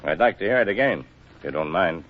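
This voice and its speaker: An actor playing a stern navy admiral with a formal, measured, coolly polite delivery in a 1940s radio drama. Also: male voice, sits low, animated. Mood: coolly skeptical. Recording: dull lo-fi 1940s radio recording.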